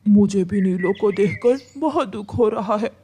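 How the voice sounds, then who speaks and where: A performer voicing a helpful male pigeon in a cartoon, gentle and apologetic. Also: mid-range voice, measured pace, a little hiss or hum, pitched below the performer's own voice, lightly acted.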